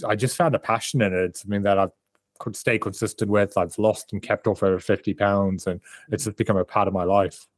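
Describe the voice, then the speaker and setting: A man's voice: on the low side, fairly even intonation, a little fast, no echo, clean studio sound. A man in his thirties from South Africa, a performance coach and author answering interview questions in a candid, reflective way over a remote call.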